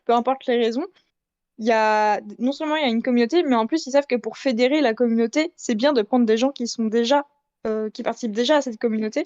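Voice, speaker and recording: Smooth higher-voiced woman, dull dry recording. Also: fast, darkish tone, no echo. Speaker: debate participant. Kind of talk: casual panel debate.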